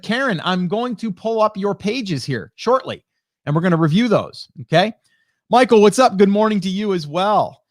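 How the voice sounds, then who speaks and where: Higher-pitched man chatting casually on livestream. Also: no room sound, clean studio sound. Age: late 40s. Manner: conversational, greets viewers by name, rhetorical check-ins. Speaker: host, online marketing coach. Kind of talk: casual live stream chat with viewers. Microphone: close broadcast dynamic microphone.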